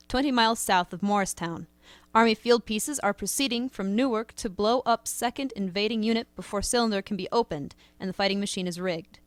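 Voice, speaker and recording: A radio announcer reads war bulletins in a radio play, as a woman acts her out. She keeps a brisk, even delivery, recorded close and dry. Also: mid-range voice, no room sound, close to an everyday voice. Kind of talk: amateur radio drama.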